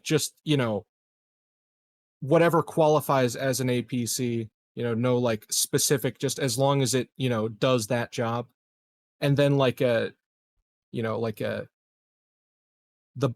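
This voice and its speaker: A man's voice: mid-range voice, no echo. A man chatting casually as a player in a tabletop roleplaying game, negotiating with the game master.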